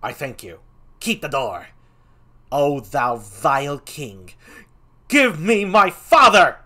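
A man voicing an enraged young nobleman out for revenge, with a rascally, raspy voice, shouting fiercely. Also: medium pitch, animated, slow pace, full character voice. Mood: furious.

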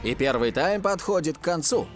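A man voicing an expressive, opinionated football commentator in an animated cartoon, with emphatic delivery. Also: a little high, moderate pace, faint music behind, close to an everyday voice. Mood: critical.

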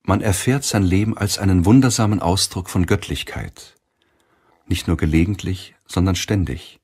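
Male audiobook narrator: low, husky, solemn and measured delivery. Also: a little mellow.

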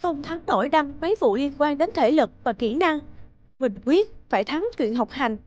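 A woman's voice: sits high, expressive, moderate pace, a little mellow, highs cut off. A woman voicing a feisty, competitive teenage schoolgirl in a cartoon drama, speaking in an earnest, emphatic way. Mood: wistful, determined. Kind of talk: animated drama dubbing.

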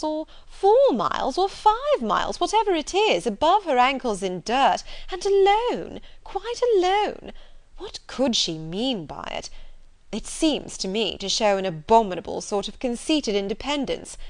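Woman doing a snooty young society lady from an old novel, high and swoopy, scandalised, drags out every important word. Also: very animated, full character voice. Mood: scornful outrage. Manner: scandalised, emphatic, drawn-out stressed words. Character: haughty, snide young society lady, scornful and gossipy. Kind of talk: audiobook character dialogue from a period novel.

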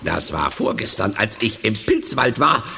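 An actor playing a small, grumpy, bossy villain in a children's radio play, excitable and theatrical. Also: male voice, moderate pace, heavily acted. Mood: eager, impatient. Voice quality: creaky, high-pitched. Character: small grumpy gloating cartoon villain, male, excitable schemer. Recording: music under the voice.

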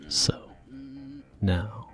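A man softly talking through a video game in whispered ASMR style, slow and gentle. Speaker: ASMR gaming channel host. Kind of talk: soft-spoken ASMR game commentary.

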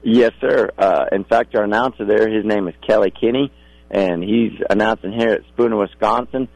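A man's voice: on the low side, normal speed, vocal fry, darkish tone, no room sound, low-quality recording. A middle-aged man with a polite, folksy way of talking, a guest in a casual radio interview. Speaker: rodeo contractor guest.

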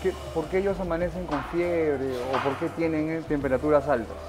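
A man's voice: a little high, steady tone, normal speed. A man casually interviewing people on location at a horse stable. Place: at horse stables, music behind. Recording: distant voice with music over it.

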